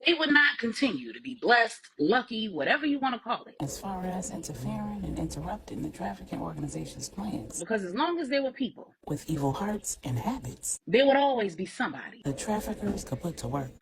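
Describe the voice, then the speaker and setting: A woman's voice: mid-range voice, sing-song, fairly quick, hardly any pauses, noisy background. A woman storyteller reading a scripted crime drama story as narrator.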